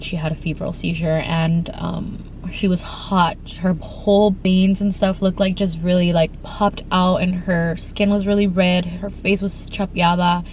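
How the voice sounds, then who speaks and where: A woman's voice: on the low side, a little mellow, slight background noise. Congested young woman telling a frightening story. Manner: rambling with many fillers and restarts. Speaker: vlogger. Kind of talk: emotional storytime vlog.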